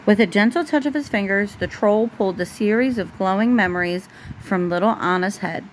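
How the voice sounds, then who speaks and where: A woman's voice: mid-range voice, fairly quick, poor audio. Woman reading a bedtime story, faint noise. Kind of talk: storybook read-aloud. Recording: faint background noise, home recording.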